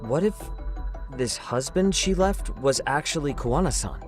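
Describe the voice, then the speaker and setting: An actor playing a young investigator sidekick in an English game dub, informal and full of questions. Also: male voice, medium pitch, animated, normal speed, soft background music, lightly acted. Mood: speculative. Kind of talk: English video game dub cutscene acting.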